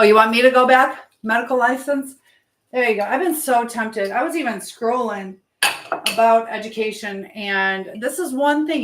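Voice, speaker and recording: A woman talks casually as the host of a live stream, at a middling pitch. She rambles from one thing to the next, breaking off to read out and answer comments from viewers. It is loose, everyday conversation with no script. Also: slight background noise. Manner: rambling, hopping between topics and replies.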